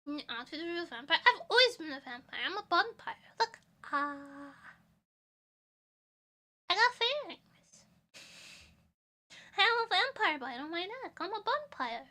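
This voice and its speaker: A young woman from Portugal chatting casually on a stream, answering chat with frequent giggles, swinging between loud bursts and soft mumbles. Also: very high voice, sing-song, measured pace, pauses often. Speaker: vtuber streamer.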